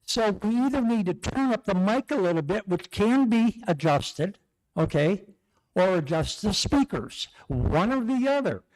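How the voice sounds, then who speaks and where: Rambling man with a high voice. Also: expressive, measured pace, darkish tone, rough recording. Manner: rambling, repeats okay. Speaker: public commenter. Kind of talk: public hearing comment. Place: town hall meeting room. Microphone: podium microphone.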